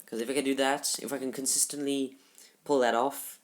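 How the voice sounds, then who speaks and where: A teenage boy giving casual gameplay commentary in a halting, rambling way, full of restarts, recorded in a shared room at home. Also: mid-range voice. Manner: subdued, somewhat flat delivery. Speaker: gaming YouTuber.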